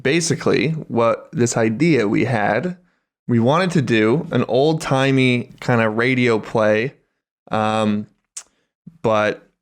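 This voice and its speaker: Male podcast co-host: average pitch, casual, halting, sweary. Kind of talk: casual chat.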